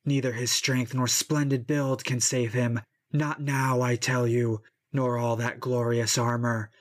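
A man's voice: level tone, a little slow, lightly acted. A man voicing a wrathful river god. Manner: booming, vengeful threats. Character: raging river god, male, vast and furious.

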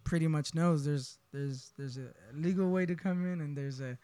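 Barbershop owner from Honduras, twenties, easygoing storyteller, smooth tone. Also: male voice, a little high, normal speed, dark tone, dry recording, faint music behind. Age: 20s. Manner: laid-back, often says "you know what I mean". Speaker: podcast guest, barbershop owner. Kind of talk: casual personal storytelling.

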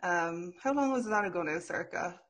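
Woman podcast host, chatty and self-mocking, slightly low pitch, casual rambling delivery, video call mic. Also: expressive, moderate pace, a bit bright. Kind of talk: casual chat. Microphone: own mic over a video call.

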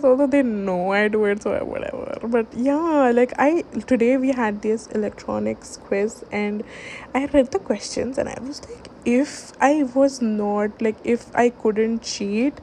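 A young woman vlogging late at night at home, speaking in a hushed voice and rambling with many fillers and restarts. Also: on the high side, a little hiss or hum. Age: young adult. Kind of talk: late-night casual chat.

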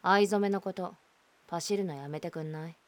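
A woman's voice: fairly low pitch, brisk, dry recording, close to an everyday voice. A woman playing a blunt, prickly teenage schoolgirl in a voice drama, who speaks curtly and sarcastically. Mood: exasperated. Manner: curt, sarcastic, cold. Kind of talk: voice drama acting.

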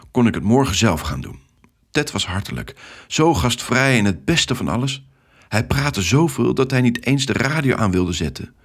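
A man's voice: mid-range voice, slightly rough. A man narrating a scripted first-person story for young children.

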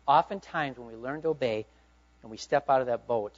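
A middle-aged male pastor preaching a sermon, swinging from loud urging to hushed, quiet asides. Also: medium pitch, a little fast. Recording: dull lo-fi sound.

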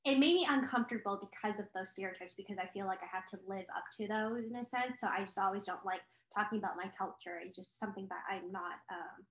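A woman's voice: mid-range voice, fast talker, few pauses. A young woman co-hosting a casual chat, talking freely with lots of fillers and laughs. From Chinese heritage. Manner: chatty, laughs often, many fillers. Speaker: co-host. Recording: echoey room.

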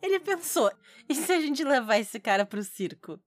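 High-pitched southern Brazilian woman telling stories. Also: moderate pace, soft background music. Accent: southern Brazilian Portuguese from Rio Grande do Sul. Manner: animated anecdote telling with slangy speech. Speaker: podcast co-host. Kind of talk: casual chat, storytelling.

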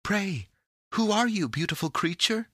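A man voicing a willful, excitable young girl in a storybook, with an emphatic, emotional manner. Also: sits high, measured pace, no room sound, clean studio sound, voice pushed up, exaggerated voice. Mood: wondering, delighted. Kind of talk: storybook character voice.

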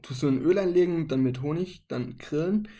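Fast-talking young man with a gravelly voice. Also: fairly high pitch, dark tone. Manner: dry, joking understatement. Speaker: podcast co-host. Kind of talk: casual chat.

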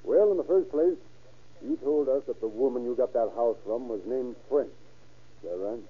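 An actor playing a hard-nosed, impatient sheriff questioning people in an old-time radio crime drama. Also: male voice, medium pitch, moderate pace, husky, lightly acted. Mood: pressing. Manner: blunt, pressing, folksy. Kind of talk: 1930s-1940s radio acting. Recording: scratchy, tinny old radio recording.

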